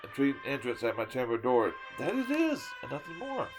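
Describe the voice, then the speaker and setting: A man giving a dramatic reading of a poem, swinging from loud declaiming to hushed whispers and sometimes tripping over a word. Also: medium pitch, wildly swooping pitch, moderate pace, music in the background. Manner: stumbles and restarts words now and then. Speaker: storyteller reading a poem aloud.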